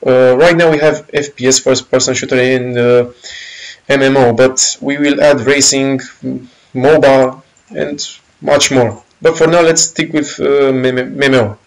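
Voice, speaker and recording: A man with a Romanian accent casually walking through a game engine's features, pausing often with fillers and correcting himself. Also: medium pitch, fairly even intonation, poor audio. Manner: halting, many fillers and self-corrections. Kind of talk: casual software walkthrough.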